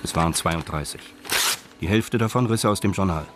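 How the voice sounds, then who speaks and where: A man narrating a crime radio drama in a sober, detached, deadpan and measured manner. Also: sits low, noisy background, lightly acted. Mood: matter-of-fact.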